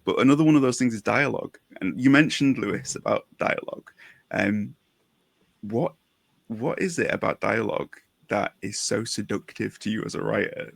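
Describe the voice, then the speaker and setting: A man's voice: mid-range voice, creaky, dry recording. A man co-hosting a writing podcast, conversational and engaged, building long explanations that lead into questions. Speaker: podcast co-host, writer. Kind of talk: conversational interviewing.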